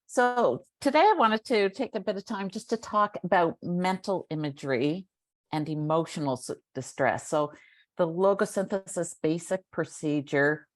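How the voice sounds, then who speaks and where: A woman hosting an interview, asking questions and explaining a topic at a measured pace. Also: lowish voice, expressive, no room sound. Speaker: interviewer host.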